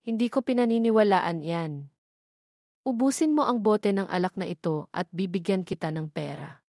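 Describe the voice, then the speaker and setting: A scornful rich young man who sounds cold and dismissive, read by a female narrator. The voice stays at a middle pitch without being lowered. The sound is close and dry. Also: expressive, normal speed, a little mellow, no echo, natural voice.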